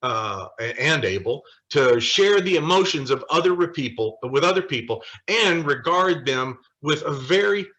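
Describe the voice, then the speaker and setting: Male Bible study leader, American, very bright forceful voice, conversational and halting delivery with emphatic asides. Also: on the high side, animated. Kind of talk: informal teaching with quoted scripture and scholars.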